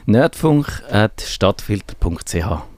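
A man's voice: fairly low pitch, big pitch swings, takes it slowly, a little mellow, no echo, faint music behind. A man with a Swiss German accent co-hosting a radio show, chatting informally and asking questions. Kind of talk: casual radio chat.

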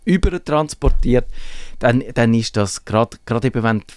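A man's voice: medium pitch, animated, normal speed, darkish tone, smooth, no echo, studio quality. A man with a Swiss German accent co-hosting a casual podcast chat, thinking aloud and searching for words as he goes. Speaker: podcast co-host.

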